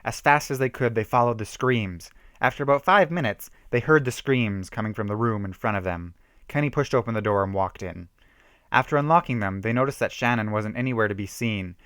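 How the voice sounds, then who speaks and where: A man's voice: lowish voice, brisk, a bit bright, clear, resonant tone, no echo, studio quality. A man giving an amateur dramatic reading of a horror story, with theatrical emphasis.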